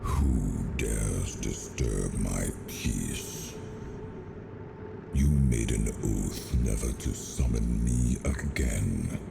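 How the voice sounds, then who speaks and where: An immensely deep, ancient lord of the seas for a fantasy video game. He is grave and wise, drawing out portentous words in a gravelly creak, over loud music. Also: very slow, exaggerated voice. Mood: stern. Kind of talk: dramatic video game acting.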